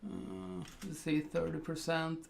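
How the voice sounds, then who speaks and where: A man from Sweden with a Swedish accent, talking through a live digital painting tutorial in slow, hesitant narration full of fillers. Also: a little high, flat delivery, gravelly, noisy background.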